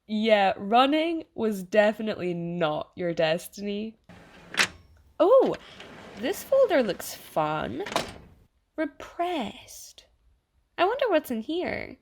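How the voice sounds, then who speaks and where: A woman's voice: mid-range voice, big pitch swings, slow pace, pauses often, background noise. A woman playing a chatty, sarcastic, easily flustered AI program in an audio drama, talking casually and stammering when rattled. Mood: nosy, teasing. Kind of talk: audio drama monologue in casual second-person address.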